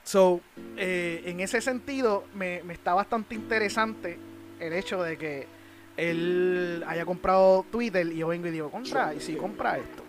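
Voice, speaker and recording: A Puerto Rican man with a high-pitched voice chats as a podcast host. His talk is slangy, with English words dropped in. Music plays clearly behind him. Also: measured pace. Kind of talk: casual podcast chat. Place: small room at home, indoors. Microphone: close microphone on a boom arm.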